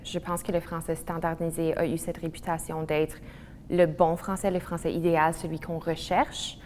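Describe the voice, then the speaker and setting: Articulate woman, lower voice, echoey space. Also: level tone, moderate pace, a little mellow, soft background music. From Manitoba, Canada. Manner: articulate, reflective. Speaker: interviewee. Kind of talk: personal testimony. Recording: echoey room.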